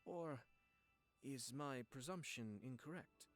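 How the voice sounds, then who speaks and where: An actor playing a refined young clan lord in a video game, courteous and composed, with formal, measured, eloquent speech. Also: male voice, mid-range voice, fairly bright tone. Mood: politely probing. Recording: game audio with music behind.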